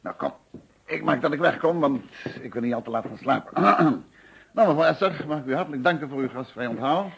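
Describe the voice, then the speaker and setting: A man's voice: on the high side, expressive, fairly quick, gravelly, fairly bright tone, muffled recording, slight background noise. An actor playing a courteous, sociable male insurance representative in a Dutch radio play, speaking formally and chattily with little tag questions. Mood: cordial, businesslike.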